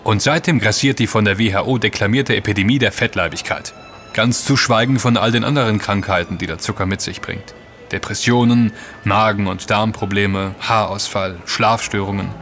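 A man playing the composed leader of an investigative team in a German audio drama, speaking in a measured, matter-of-fact way. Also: fairly low pitch, a bit bright, natural voice. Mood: serious, decisive.

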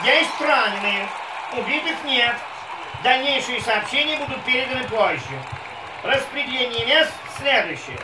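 A man playing an excited race announcer in a radio play, projecting crash bulletins in a declamatory way. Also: very high voice. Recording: distant echoing announcer over noisy crowd ambience.

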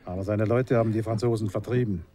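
Guy doing a quiet confiding informant, darkish low voice, radio play. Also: steady tone, fast talker, faint music behind, lightly acted. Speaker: man telling secret news. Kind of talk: radio drama acting.

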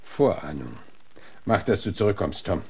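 A tired, gruff frontier marshal in a radio play. He speaks curtly in a husky voice, a little on the low side. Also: sing-song, normal speed, darkish tone, full character voice. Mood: curt, grim. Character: gruff, weary middle-aged western marshal, terse. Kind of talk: audio drama acting.

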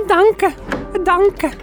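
An actress playing a coquettish, polite village innkeeper in a radio drama. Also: female voice, very high voice, steady tone, very slow, over music, heavily acted. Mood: flirtatious.

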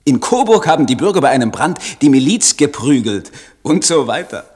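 A man acting a troubled, idealistic German poet in a literary radio play, speaking in a solemn, declamatory, halting manner. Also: mid-range voice, big pitch swings, darkish tone, husky. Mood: agitated reporting. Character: troubled idealistic German poet reading his own letters.

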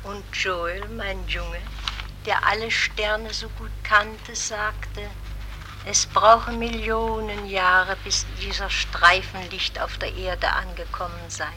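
Slow, bright, wistful dead mother. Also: mid-range voice, flat delivery, slight background noise, rough recording. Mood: wistful wonder. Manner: wistful, marvelling. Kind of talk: radio drama acting.

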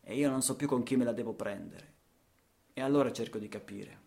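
A man's voice: medium pitch, a little fast, creaky, darkish tone. A man with an Italian accent performing a satirical radio theatre monologue, ironic and emphatic, posing rhetorical questions.

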